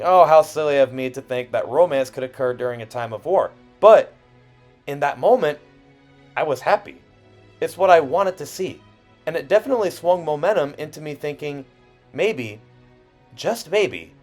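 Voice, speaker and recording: A man narrating a personal video essay in an expressive way, with self-deprecating asides and dramatic pauses. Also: a little high. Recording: music under the voice. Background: background music.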